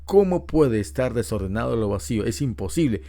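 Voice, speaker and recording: A middle-aged man gives a Bible teaching in an unhurried, explanatory way, posing rhetorical questions to his listeners. His voice is dark and mellow, pitched in an ordinary male range. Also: expressive. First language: Spanish. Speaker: preacher.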